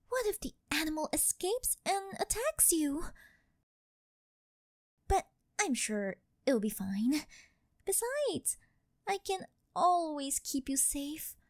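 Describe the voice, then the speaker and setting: A woman acts out a wealthy older yandere girlfriend who dotes and clings. Her voice sits high and she takes her time, sweet-talking with a possessive edge. It is an intimate ASMR roleplay with a very dry sound. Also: dry recording, full character voice. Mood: protective, possessive. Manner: coaxing, sweet-talking, apologetic with possessive undertone.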